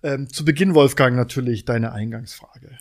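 A man hosting a podcast with playful, effusive banter and gentle teasing. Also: medium pitch, moderate pace. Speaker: podcast host.

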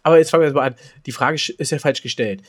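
A middle-aged man co-hosting a casual podcast, talking in a rambling, jokey, excitable way. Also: mid-range voice, rapid-fire. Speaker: podcast co-host.

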